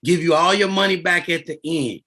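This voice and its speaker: A man in his fifties, a guest on a podcast, explaining finance in an animated, emphatic way and repeating his key words. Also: a little high, normal speed, vocal fry. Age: 50s. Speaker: guest, insurance and debt author.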